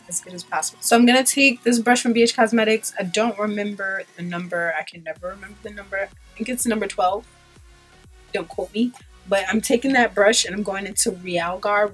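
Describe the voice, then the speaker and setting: A woman's voice: medium pitch, slightly rough, rough recording. A young woman in her twenties with a stuffy cold, chatting casually through a makeup tutorial in a small room, loose and full of ums and likes. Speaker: beauty vlogger. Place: small room in front of a pink backdrop.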